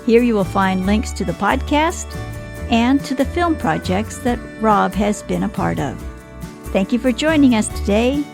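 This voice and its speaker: Female interviewer, medium pitch with vocal fry, conversational and appreciative, music under the voice. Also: expressive, unhurried. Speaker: podcast host and interviewer.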